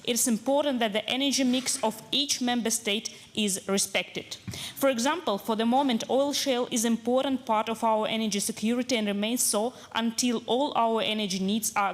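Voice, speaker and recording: A woman delegate giving a prepared conference speech in a formal, read-out way. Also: a little high. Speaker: parliamentary delegate.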